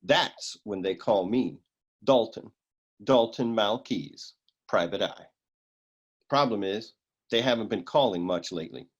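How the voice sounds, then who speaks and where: A man's voice: lowish voice, slow pace, pauses often, slightly rough, muffled recording. A man playing a self-important, down-on-his-luck private detective in a noir radio play, with a dramatic manner. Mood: brooding, boastful.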